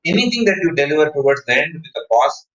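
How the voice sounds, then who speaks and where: A man's voice: on the high side, sing-song, fast, fairly bright tone, a little hiss or hum. Echoey Indian man teaching public speaking. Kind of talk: online workshop, explaining and answering questions. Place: indoor room, online meeting. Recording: echoey, dull online meeting audio.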